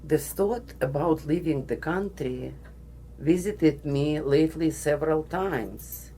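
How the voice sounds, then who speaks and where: Russian-accented woman telling a personal story, unhurried, faint hiss behind her. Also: deep voice, mellow, poor audio. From Soviet Union. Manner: slow, deliberate, with pauses. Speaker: guest speaker. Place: studio.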